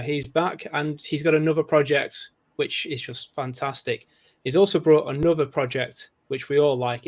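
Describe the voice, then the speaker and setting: A man hosts a tech podcast in a chatty, friendly manner. His voice sits a touch higher than most men's, and he laughs easily. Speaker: podcast host. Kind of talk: casual podcast hosting.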